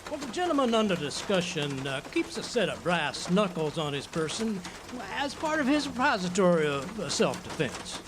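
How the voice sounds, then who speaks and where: Man playing pompous, swooping, high-voiced genial drunk. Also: sing-song, normal speed, few pauses, background noise. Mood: jovial, pompous. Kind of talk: radio drama acting.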